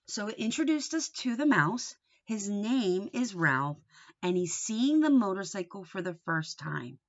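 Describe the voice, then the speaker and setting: A woman's voice: mid-range voice, big pitch swings, unhurried. Woman reading to children, slightly dull recording. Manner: simple explanatory phrasing, addressing young listeners with questions. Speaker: teacher reading aloud to children. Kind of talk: read-aloud lesson for young children. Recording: dull, lo-fi sound.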